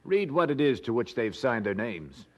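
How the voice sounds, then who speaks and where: An actor playing a courteous, supportive colonial gentleman in an audio drama, polite and formally conversational. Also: medium pitch, sing-song, normal speed. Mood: concerned, frank.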